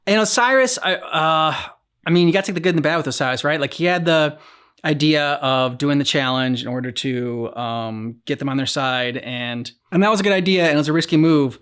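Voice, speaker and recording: A man hosting a reaction show, talking casually and haltingly as he thinks aloud. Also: on the high side, a bit bright, clean tone. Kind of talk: casual discussion.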